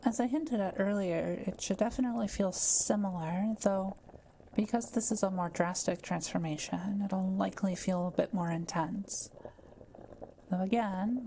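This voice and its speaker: A woman playing a kind, gentle witch in an intimate roleplay audio drama, speaking softly and carefully to the listener. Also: mid-range voice, moderate pace, slight background noise, close to an everyday voice, poor audio. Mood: gentle, reassuring. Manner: soft-spoken, reassuring, careful explaining.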